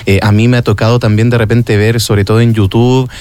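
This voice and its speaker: A man's voice: fairly low pitch, little pitch movement, a little fast, a little mellow, clear, resonant tone. A Chilean man with a Chilean Spanish accent, interviewing a guest in a hesitant way with many fillers.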